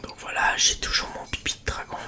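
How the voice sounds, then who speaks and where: An ASMR host chatting casually in a whisper, rambling along in informal, slangy speech close to the microphone. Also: brisk.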